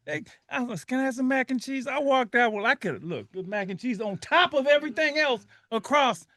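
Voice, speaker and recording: A man from Liberia hosting a community radio talk show, telling stories with lots of laughter and animated bursts. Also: very high voice, a little fast, dry recording, studio quality. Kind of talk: casual radio chat.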